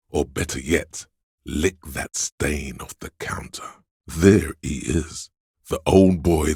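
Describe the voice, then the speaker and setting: An extremely deep, rough-edged voice of craving for a video game. It is a hedonistic tempter that coaxes and goads, relishing every indulgent word. The sound is dry and close. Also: male voice, dry recording, heavily acted. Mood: goading, coaxing. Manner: indulgent, coaxing, savours words, swears. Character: male inner voice of addiction, hedonistic, goading, seductive tempter. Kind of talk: scripted video game voice acting.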